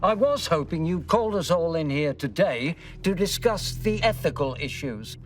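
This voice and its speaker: A concerned, principled police commissioner, played by a male actor in a game cutscene. His formal, earnest voice moves widely in pitch and sits a little away from the mic, with music behind. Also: lively intonation, moderate pace, recorded from a distance, natural voice. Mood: earnest, challenging. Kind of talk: video game cutscene acting.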